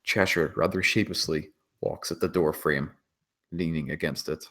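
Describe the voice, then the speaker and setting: A man's voice: extremely low pitch, steady tone, moderate pace, fairly bright tone. A man reading scripted stage directions as the narrator of a screenplay table read.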